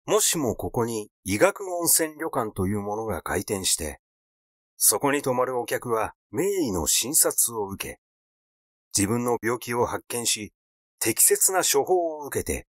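Expressive male Japanese storyteller, lively intonation. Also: medium pitch, dry recording, studio quality. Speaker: audiobook reader. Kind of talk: scripted literary narration, story reading.

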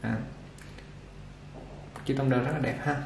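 A man giving a casual unboxing demonstration of a hair clipper, talking relaxed with many fillers while he handles it. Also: medium pitch, measured pace, creaky. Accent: Vietnamese. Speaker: product reviewer for a barber supply shop. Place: indoor shop table with clipper boxes. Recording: echoey room with machine noise. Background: clipper motor buzz and clicks.

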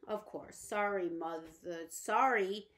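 A woman voicing a cool, calculating boy genius who stays polite to his mother. She keeps his lines measured and restrained. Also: medium pitch, fairly bright tone. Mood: subdued.

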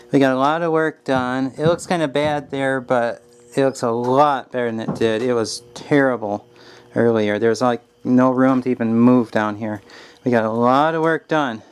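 A man's voice: mid-range voice, moderate pace, smooth. A man in his forties chatting casually in a family vlog, recorded on a handheld camera. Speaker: vlogger, father. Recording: noisy handheld camera audio.

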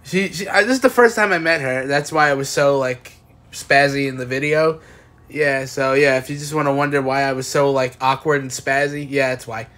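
A young man giving casual, excitable postgame recaps as a sports fan, recorded on a phone at home. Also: mid-range voice, normal speed. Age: young adult. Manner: excitable, exclamatory fan cheering. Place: small indoor room at home. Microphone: phone at arm's length.

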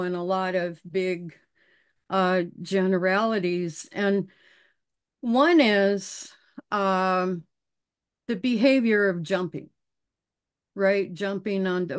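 A woman who is a dog behavior specialist, speaking in a panel discussion over a video call, slow and thoughtful with hesitant restarts. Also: mid-range voice, clean tone.

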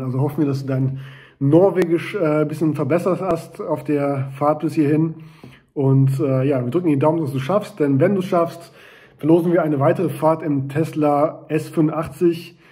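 A man's voice: a little high, dark tone. A man from a sponsor leaving a friendly recorded video message, hesitating often with fillers. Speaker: sponsor representative.

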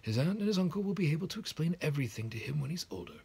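Man voicing a high-pitched, gentle old wizard. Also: big pitch swings, creaky, slightly rough, exaggerated voice. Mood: serious, calm. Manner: gentle, measured, polite. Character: calm, kindly old male wizard, gently whimsical.

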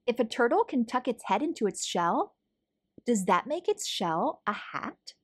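Lady reading a kids picture book, very up and down expressive, slightly husky breathy voice. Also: on the high side, a little slow. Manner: animated, clearly paced storytelling for young children. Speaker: children's read-aloud host.

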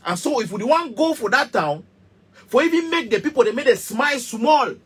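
Cameroonian guy in his 30s going off about stuff, raspy voice, repeats himself a lot, phone cam in a little studio. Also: very high-pitched, lively intonation, moderate pace. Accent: Cameroonian Pidgin English. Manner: passionate, emphatic, repetitive, gesturing. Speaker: musician. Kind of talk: heated direct-to-camera rant. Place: small indoor music studio.

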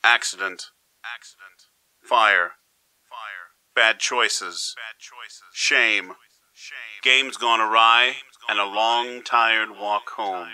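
A haunting inner voice in an audio drama, as the actor puts it on intones single words in a slow, flat way. The tone sits low but very bright and cutting, and it drags each word out. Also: male voice, heavily acted, poor audio. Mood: haunted.

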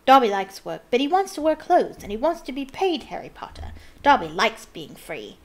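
A woman voicing a small, eager male house elf from a storybook, squeaky and servile, with broken grammar. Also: sing-song, normal speed. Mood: earnest, proud. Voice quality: squeaky, shrill.

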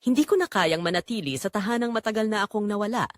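A woman's voice: medium pitch, quick pace, no room sound, clean studio sound, natural voice. Woman voicing a grave, wronged husband. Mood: grave, hurt. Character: long-silent wronged husband and father, grave and resolute.

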